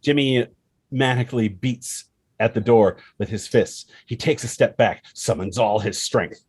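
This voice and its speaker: A man's voice: medium pitch, a little slow, darkish tone, slightly rough, dry recording. A man reading stage directions as dramatic scripted narration at a table read, in punchy phrases. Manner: punchy, present-tense action reading.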